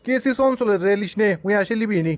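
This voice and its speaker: A man's voice: sits high, fast, dry recording, close to an everyday voice. A man voicing a boastful, grumbling small-town stoner uncle in a comic cartoon, with a northern Bangladeshi accent. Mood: bantering, fussy. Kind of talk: comic cartoon dialogue in rural dialect.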